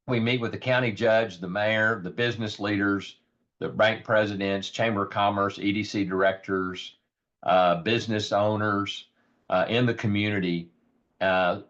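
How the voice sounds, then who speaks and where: Hoarse low-voiced man, muffled audio. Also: a bit bright. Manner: earnest, many ums and repeated words. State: raspy, hoarse voice. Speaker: rural health program leader. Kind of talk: informal virtual presentation. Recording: dull lo-fi audio.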